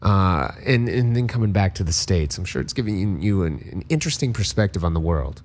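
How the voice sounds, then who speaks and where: A man hosting a radio interview in a studio, with hype DJ shout-outs and a casual, upbeat hosting manner. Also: low-pitched, lively intonation, fairly quick. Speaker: radio host and DJ.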